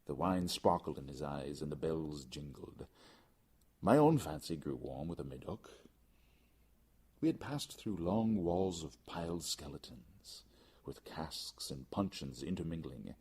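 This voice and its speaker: A man's voice: a bass voice, very animated, measured pace, gravelly, dark tone, slightly rough. A man reading a gothic story aloud as dramatic, scripted audiobook narration. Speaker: audiobook reader.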